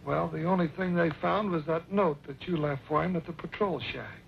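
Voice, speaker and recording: An actor playing a folksy, eccentric older judge in an old western radio drama, creaky and a little pompous. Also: male voice, fairly quick. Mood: concerned. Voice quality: creaky, high-pitched. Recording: dull, hissy old radio recording.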